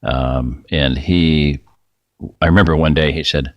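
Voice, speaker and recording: Middle-aged guy with a super deep voice rambling folksy over his screen, going a bit raspy, dry close sound. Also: steady tone, unhurried, dry recording, muffled recording. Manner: rambling, folksy, self-interrupting. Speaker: video host. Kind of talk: rambling screen-share talk.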